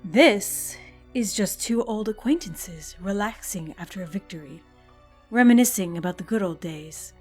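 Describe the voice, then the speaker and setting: A woman's voice: medium pitch, animated, a little slow, darkish tone, soft background music, natural voice. A woman playing a manipulative, seductive and coolly calculating schemer in an audio drama.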